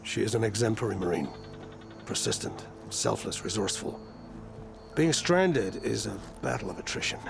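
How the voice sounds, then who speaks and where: An actor playing a stern, weary older admiral in a video game, gravelly, speaking in a grave, formal, measured way. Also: male voice, on the low side, sing-song, heavily acted. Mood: earnest. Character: weary, stern older male fleet admiral, guarded and pessimistic. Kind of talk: video game cutscene acting. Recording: music behind the voice.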